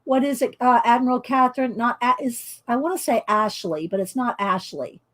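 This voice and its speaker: A woman's voice: a little high, moderate pace. Chatty woman with a carrying voice. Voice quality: loud voice that carries. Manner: chatty and rambling, laughs often. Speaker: coloring channel host. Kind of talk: casual chat while coloring on a livestream.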